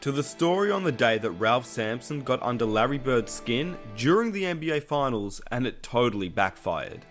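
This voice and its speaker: A man reading a scripted sports documentary introduction in an even, rehearsed way. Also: mid-range voice, moderate pace, few pauses, soft background music. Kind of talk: scripted video narration.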